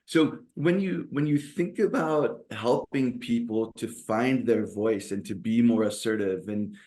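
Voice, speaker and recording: A man's voice: mid-range voice, measured pace, a little mellow. A man hosting an interview over a video call, asking long, enthusiastic questions with frequent restarts. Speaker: podcast host. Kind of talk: interview host.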